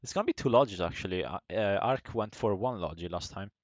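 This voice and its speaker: A man who is not a native English speaker, commentating a competitive online shooter match in a relaxed, halting way with many fillers. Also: on the low side, dry recording, dull audio. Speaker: esports caster. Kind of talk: play-by-play game commentary.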